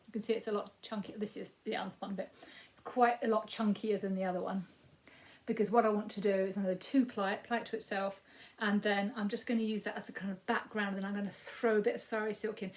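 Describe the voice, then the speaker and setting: Woman, 50s, Yorkshire accent, croaky voice, rambling casual chat, frequent ums. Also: medium pitch, flat delivery, fast. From Yorkshire, England. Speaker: crafting podcast host. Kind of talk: casual chatty crafting update.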